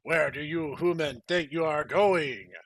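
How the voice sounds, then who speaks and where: A man voicing a menacing, pompous enemy soldier in a comic reading, loud and drawn-out. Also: fairly high pitch.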